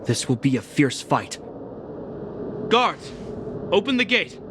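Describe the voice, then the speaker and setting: A man's voice: a little high, very animated, slow, noisy background. A man playing a stern, dutiful young guard captain in a dramatic video game. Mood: commanding.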